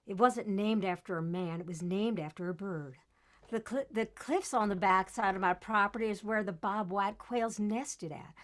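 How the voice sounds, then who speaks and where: An actress playing an earnest, folksy West Virginia coal miner's granddaughter turned activist in a stage monologue. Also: female voice, medium pitch, natural voice. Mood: wistful. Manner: plain-spoken, folksy. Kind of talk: theatrical storytelling monologue.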